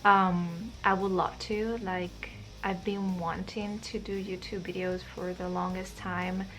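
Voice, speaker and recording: A woman's voice: on the low side, level tone, slow, fairly bright tone, poor audio. A young woman from Spain with a Spanish accent, chatting shyly and hesitantly to camera, with many fillers. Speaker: vlogger. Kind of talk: casual chat to camera.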